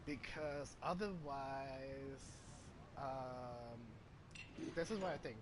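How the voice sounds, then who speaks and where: A man giving casual game commentary as a streamer, halting as he searches for words. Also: mid-range voice, noisy background. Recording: loud game music under voice.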